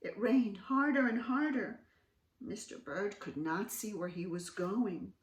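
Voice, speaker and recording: A woman reading aloud to young children as host, expressive and dramatically emphatic, pausing to ask questions. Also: medium pitch. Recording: roomy echo, distant mic.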